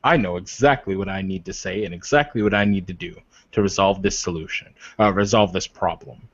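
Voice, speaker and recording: A man's voice: low-pitched, a little fast, a bit bright, dry recording. Man playing a proud, insistent werewolf leader, muffled recording. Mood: earnest, impassioned. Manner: insistent, rhetorical questions, repeats phrases for emphasis, swears. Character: proud, protective male werewolf leader arguing passionately for respect. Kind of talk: improvised in-character roleplay dialogue. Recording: dull, lo-fi voice recording.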